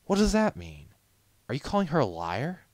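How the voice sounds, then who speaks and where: A man's voice: a little high, wildly swooping pitch, moderate pace, a little mellow, no echo, pitched above the performer's own voice. A man voicing a proud, confident male pony glassblower in a storybook reading, earnest and assured. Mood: defensive.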